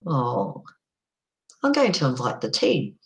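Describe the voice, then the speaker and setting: A middle-aged woman with a croaky voice, hosting a casual solo live stream, chatty and unhurried with frequent ums. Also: extremely low pitch, huge pitch swings, a little mellow.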